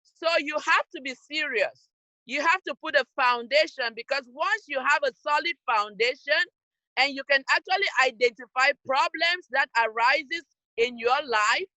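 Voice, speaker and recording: A woman's voice: sits high, bright, forceful tone, no echo, rough recording. A woman from Cameroon, giving an emphatic, scolding motivational talk to young people over a video call. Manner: emphatic, scolding, direct to listeners. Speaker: youth program speaker.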